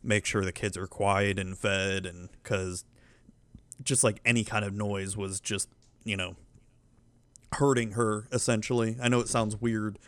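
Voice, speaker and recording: Low-voiced man telling rambling, profane stories. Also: fairly even intonation, a little slow, a bit bright, clear, resonant tone, dry recording. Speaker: podcast co-host. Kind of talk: casual chat.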